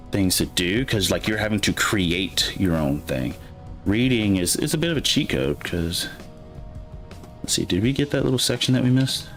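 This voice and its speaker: Man, light alabama accent, very low voice, rambling stream chat, faint music behind. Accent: light Alabama Southern. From Alabama, USA. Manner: rambling, chatty, self-correcting, reads chat aloud. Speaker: gaming streamer. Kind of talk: casual live-stream chat while playing.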